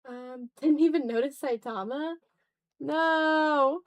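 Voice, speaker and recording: A woman's voice: high-pitched, takes it slowly, dry recording. A tired woman chatting casually on an art livestream while she draws, self-deprecating and often trailing off into muttering to herself.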